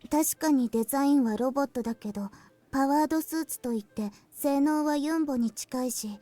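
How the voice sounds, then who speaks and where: An earnest, clever teenage girl from a game, voiced by a woman, laying out her reasoning calmly and step by step. The voice is high and quick but has a warm, mellow color. Quiet music plays underneath. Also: close to an everyday voice. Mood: explaining. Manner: calm and explanatory. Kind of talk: Japanese game voice acting.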